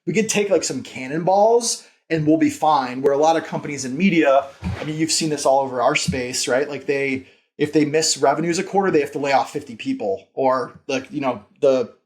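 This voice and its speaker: A man's voice: on the high side, brisk, darkish tone. A man speaking as a founder and podcast guest, informal and conversational, repeating phrases for emphasis and leaning on "like". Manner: informal, frequent "like", emphatic repetition. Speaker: company founder and CEO, interview guest.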